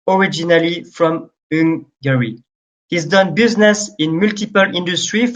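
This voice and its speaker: A man's voice: high-pitched, fairly bright tone. A man moderating a webinar over a video call, reading a formal introduction of the speakers. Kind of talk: scripted introduction. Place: indoor room, video call. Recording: dull lo-fi call audio.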